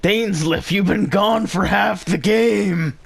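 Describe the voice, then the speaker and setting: A man's voice: high-pitched, slow pace, creaky. A man doing casual playthrough commentary as a streamer, blunt and exasperated. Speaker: gaming streamer reacting to story.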